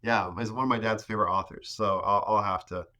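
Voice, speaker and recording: A man in his thirties, a podcast guest, talking casually about growing up in the rainforest, with many pauses and restarts. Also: lowish voice, fairly even intonation, pushed and bright. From Ecuador. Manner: hesitant, many fillers and restarts. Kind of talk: casual conversation.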